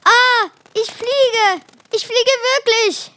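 A child playing a very high-voiced daring sibling. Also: very slow, pushed and bright, full character voice. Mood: excited. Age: child, about ten. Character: impatient, daring, clumsy young sibling eager for adventure. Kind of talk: amateur children's radio play acting.

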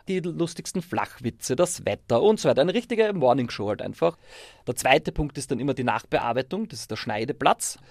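A man's voice: mid-range voice, dry recording, clean studio sound. A man with an Austrian accent, a primary school teacher, informally explaining how a setup works.

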